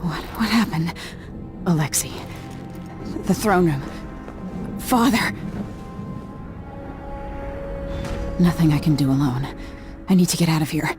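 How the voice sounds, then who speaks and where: A young royal heroine from a video game. Her voice is a touch low, earnest and resolute. Music runs clearly behind her. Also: animated, moderate pace, long pauses. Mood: alarmed, urgent. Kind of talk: dramatic video game acting.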